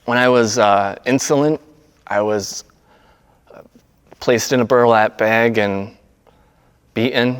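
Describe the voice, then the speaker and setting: A man speaking in a low-key, halting, naturalistic way, like an amateur actor doing a monologue reading. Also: steady tone, smooth. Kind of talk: casual intro, then understated monologue reading. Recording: long echoing reverb on the voice.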